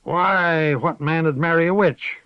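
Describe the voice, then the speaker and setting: A man's voice: a little high, takes it slowly, clear, resonant tone, dry recording, pitched above the performer's own voice. A man voicing a sly old village witch. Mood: folksy, knowing. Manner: folksy rustic speech, calls people dearie; rocking, chanting incantation. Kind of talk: audiobook character voice. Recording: dull, dry lo-fi recording.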